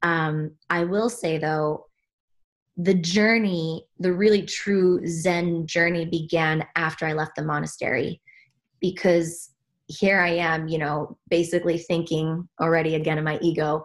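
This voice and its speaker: A woman's voice: deep voice, steady tone, unhurried. A woman from Switzerland, a spiritual teacher, talking earnestly about her path as a podcast guest, often restarting her sentences as she searches for words. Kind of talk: reflective podcast conversation.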